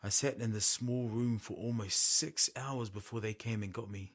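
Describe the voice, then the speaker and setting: A man's voice: fairly low pitch, fairly even intonation, normal speed. A man narrating an audio story in the first person with a theatrical, dramatic manner. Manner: theatrical, present-tense storytelling. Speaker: storyteller, voice performer. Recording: strong background noise.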